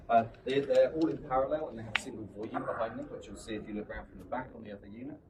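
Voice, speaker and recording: A man giving an informal technical product presentation, explaining things step by step. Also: on the low side. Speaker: product presenter for a loudspeaker maker. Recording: distant mic, noisy room.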